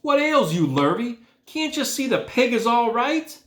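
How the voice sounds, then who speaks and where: A man voicing a blustery, excitable farmer from a storybook, hollering his lines. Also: very high-pitched, unhurried, voice pushed up, full character voice. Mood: exasperated. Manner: hollering, exclamatory. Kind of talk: storybook character voice. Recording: echoey room.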